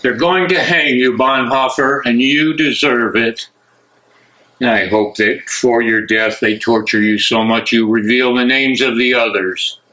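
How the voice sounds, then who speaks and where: A man playing a hateful, gloating Nazi major in a dramatic stage reading, with slow, threatening, emphatic delivery. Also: medium pitch, steady tone, pushed and bright, poor audio. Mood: hateful, menacing.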